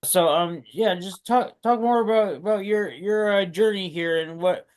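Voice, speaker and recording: A man interviewing a guest, asking questions haltingly and repeating words often. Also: sits high, clean tone. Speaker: student interviewer.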